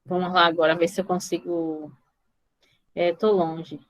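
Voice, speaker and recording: A woman's voice: on the low side, little pitch movement, a little slow, low-quality recording. A woman with a Brazilian accent teaching informally in a live online class, often stopping to question and check on her students. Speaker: mathematics teacher.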